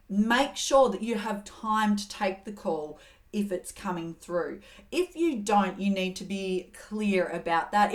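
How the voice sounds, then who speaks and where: A middle-aged woman hosts an advice talk. She coaches in an earnest, steady way at an ordinary mid pitch, now and then acting out calm phrases to say to an angry caller. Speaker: host giving communication advice.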